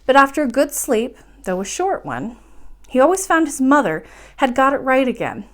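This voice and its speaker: High-voiced woman reading a bedtime story. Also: very animated, moderate pace, a bit bright. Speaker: storyteller reading a children's book aloud.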